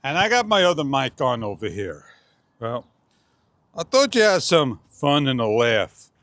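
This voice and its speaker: Unhurried man chatting casually to viewers. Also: mid-range voice, a bit bright, dry recording. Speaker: video host. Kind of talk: casual playful chat.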